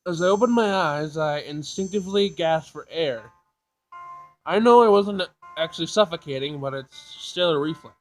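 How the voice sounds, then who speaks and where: A man's voice: a little high, normal speed, poor audio. A man hosting a let's play, reading game text aloud expressively, stumbling and rereading, and adding his own commentary. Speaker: gaming video host. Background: game music.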